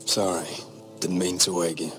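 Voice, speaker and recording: A battle-scarred young lord in a fantasy game drama. His voice is low, measured and solemn, with faint music underneath. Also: little pitch movement, fairly bright tone. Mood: subdued. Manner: measured, serious. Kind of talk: dramatic video game acting. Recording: music behind the voice.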